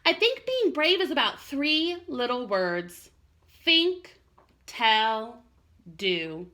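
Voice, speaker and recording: A woman voicing a gentle, loving mother raccoon, encouraging her child in a storybook. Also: sits high, big pitch swings, extremely slow, heavily acted.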